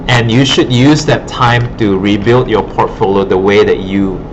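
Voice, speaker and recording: A man's voice: on the low side, fairly even intonation, unhurried. A man giving informal, rambling career advice as an art instructor, encouraging and often checking in with his listeners. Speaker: art instructor and former art director. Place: classroom at an art academy. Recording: muffled audio with clear background noise.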